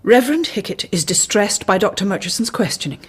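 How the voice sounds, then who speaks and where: An actress in a radio drama playing a cautious, earnest hospital colleague who speaks formally and precisely, with prim reproof. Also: female voice, mid-range voice, expressive, fairly quick, breathy edge. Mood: grave, urgent.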